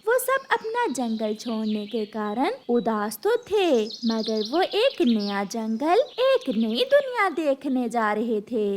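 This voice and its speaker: A woman tells a children's cartoon story in a slow, expressive storybook reading. Her voice sits high and lilts widely, with faint ambience behind her. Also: slight background noise. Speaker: narrator. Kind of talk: scripted storybook narration.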